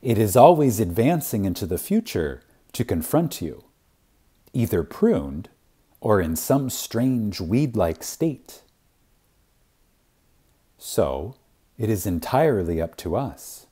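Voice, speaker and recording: A man reading an inspirational spiritual lecture aloud in a reverent, dramatic way, with long pauses and strong emphasis. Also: lowish voice, big pitch swings, warm tone, breathy edge.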